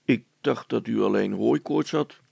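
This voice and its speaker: A deferential village butcher from a children's storybook, acted by a man. He speaks hesitantly and obligingly in a husky, creaky voice, stumbling over his words. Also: mid-range voice, unhurried, darkish tone, dry recording, full character voice. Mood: puzzled, obliging.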